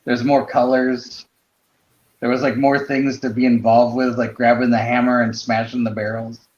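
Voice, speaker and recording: A roomy, slightly muffled recording of a middle-aged man in casual conversation. His voice sits at an average pitch, and he talks informally. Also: little pitch movement, moderate pace, a little mellow. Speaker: podcast co-host. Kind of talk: casual chat.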